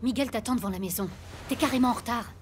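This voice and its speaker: An actress playing a policeman's exasperated, sharp-tongued wife in a French audio drama, dry and scolding. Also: on the high side, fast talker, lightly acted. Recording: noisy ambience behind the voice.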